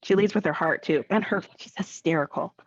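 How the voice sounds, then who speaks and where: A woman who works as an actor, talking casually in an interview with hesitant pauses and emphatic words. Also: on the low side, dull audio.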